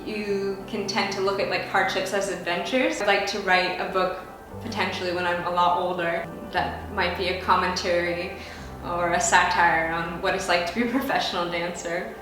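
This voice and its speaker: A woman's voice: lowish voice, flat delivery, moderate pace. A young American woman, a ballet dancer, answering viewer questions in a chatty, upbeat way with lots of casual fillers. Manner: chatty and upbeat, with frequent "like" and "you know" fillers. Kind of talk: casual Q and A vlog. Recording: very echoey room, distant mic, music behind.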